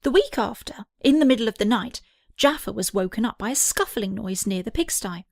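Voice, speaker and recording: A woman's voice: fairly high pitch, animated, brisk, gravelly, dry recording, studio quality. A woman narrating a scripted children's story as an audiobook reader.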